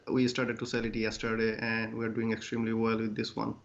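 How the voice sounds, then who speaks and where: A man's voice: lowish voice, monotone, brisk, creaky. A man speaks as an interview guest, earnest and hesitant with many fillers. He is feeling under the weather. His voice comes over a dull, lo-fi remote line. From Bangladesh. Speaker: guest, clothing brand founder. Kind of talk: casual interview answers.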